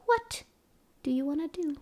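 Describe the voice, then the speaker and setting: A woman's voice: sits high, animated, normal speed, dark tone. A young woman from Sweden running a tabletop role-playing game as game master, chatting casually with frequent laughs and restarts.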